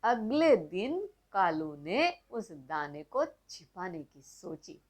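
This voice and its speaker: A woman narrating a moral bedtime story for children, speaking gently and addressing the kids directly. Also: lowish voice, very animated, slow.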